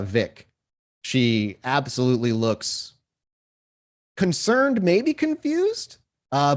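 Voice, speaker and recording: A man with a medium-pitched voice runs a tabletop roleplaying game. He describes scenes in an improvised, conversational way, as game master to his players.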